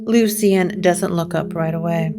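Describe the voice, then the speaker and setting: A woman narrating a scripted gothic audio drama in a measured, solemn, atmospheric manner. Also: on the low side, over music.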